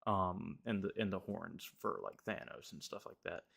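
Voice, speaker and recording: A young man, slightly hoarse, rambling through a casual movie review with lots of fillers and restarts. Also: low voice, moderate pace, fairly bright tone.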